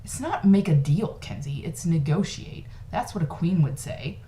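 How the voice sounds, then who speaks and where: A bossy young boy read by a woman in a storybook reading. He is a teasing, persuasive know-it-all big brother, his pitch a little raised. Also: animated, moderate pace, mellow.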